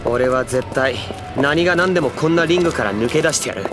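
A man playing a brash, money-hungry young undead man in an anime audio drama, talking in rough, bossy slang. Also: medium pitch, lively intonation, fairly quick, over music, lightly acted. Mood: indignant.

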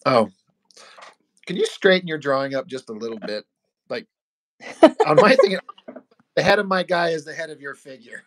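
A man's voice: a little high, wildly swooping pitch, moderate pace, long pauses, a bit bright, no echo. A man hosting a casual chat while drawing, teasing and acting out quotes. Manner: enthusiastic, teasing, acts out quotes. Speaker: artist host.

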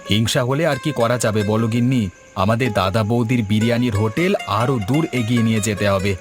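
A man's voice: medium pitch, fast, music in the background, natural voice. A man voicing a humble, eager village eatery owner in a cartoon story. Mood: content. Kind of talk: Bengali cartoon story dialogue.

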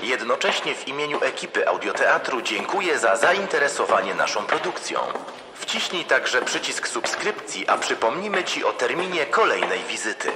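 A man's voice: medium pitch, big pitch swings, moderate pace, background noise, close to an everyday voice. An actor playing a polite, bureaucratic male institute announcer, reading a formal message in an audio drama. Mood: officious. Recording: echoey hall with long reverb.

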